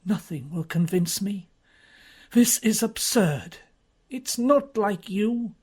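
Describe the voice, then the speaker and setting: A woman's voice: mid-range voice, expressive, slow, a little mellow, husky, pitched above the performer's own voice. A woman doing an urgent male police officer. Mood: imploring. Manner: urgent, imploring.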